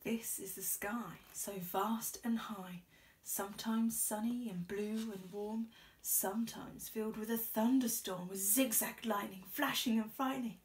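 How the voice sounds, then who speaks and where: A young woman reading a rhyming children's picture book aloud expressively, with measured, careful storytelling. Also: mid-range voice, fairly even intonation, recorded from a distance, poor audio. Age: young adult. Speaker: storybook reader. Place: small indoor room. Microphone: camera a short distance away.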